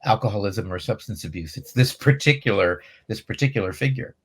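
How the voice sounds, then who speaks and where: A man with a slightly low voice moderates an interview. He speaks conversationally and hesitantly, often pausing and restarting while he looks for the right word. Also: lively intonation, husky. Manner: halting, hesitant, searching for words.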